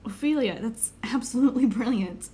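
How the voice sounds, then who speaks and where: A woman's voice: fairly high pitch, normal speed, dark tone, close to an everyday voice. A woman playing a frank, teasing, loyal young superheroine in an audio drama, with a wry delivery. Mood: candid.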